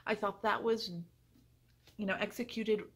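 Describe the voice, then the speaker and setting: A woman talks through book reviews in a casual vlog style, with a mid-pitched voice. She is effusive and emphatic, circling back to repeat phrases when she wants to stress a point. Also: level tone, measured pace, breathy edge.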